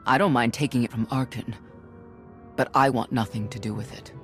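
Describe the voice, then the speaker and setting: An actor playing a principled, disapproving fighter in a video game cutscene, stern and firm. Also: male voice, mid-range voice, fairly quick, faint music behind, natural voice.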